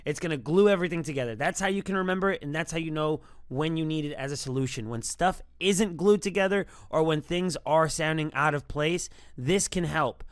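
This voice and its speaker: A man's voice: a little high, a little fast, few pauses, no echo, clean studio sound. A man hosting a music production tutorial, explaining things in an informal, rambling, conversational way. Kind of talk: casual tutorial talk.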